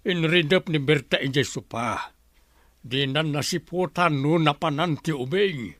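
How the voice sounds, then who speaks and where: A man's voice: a little high, unhurried, fairly bright tone, dry recording. An actor playing a kindly, complaining old uncle in an Ilocano radio drama, fatherly and grumbling, with an Ilocano accent. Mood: weary grumbling.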